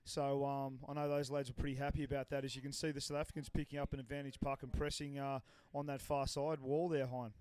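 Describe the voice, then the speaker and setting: A man leading live sports commentary in a chatty way, pausing on "uh" and passing to his partner by name. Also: medium pitch, little pitch movement, a little mellow, clear, resonant tone. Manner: chatty, many "uh" pauses, hands off to partner by name. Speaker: lead commentator.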